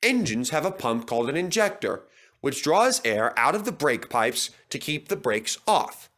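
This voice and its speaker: A man's voice: a little high, sing-song, moderate pace, fairly bright tone. Male children's storyteller. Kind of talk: scripted children's story narration.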